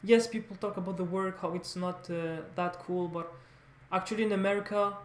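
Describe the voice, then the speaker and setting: A man talks off the cuff to his viewers. He speaks haltingly, circling back and restarting his phrases as he rambles. The recording has a clear room echo around his voice. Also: high voice, steady tone, slight background noise. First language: French. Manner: halting, rambling, repeats words and corrects himself often. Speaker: vlogger, language learner. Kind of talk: casual unscripted monologue to viewers.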